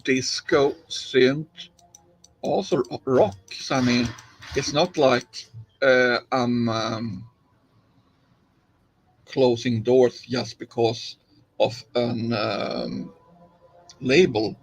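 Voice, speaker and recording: Slow-talking Finnish man, laid-back. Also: mid-range voice, faint music behind, low-quality recording. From Finland. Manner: relaxed, rambling, many fillers and restarts. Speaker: streamer and DJ. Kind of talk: casual live-stream chat while gaming.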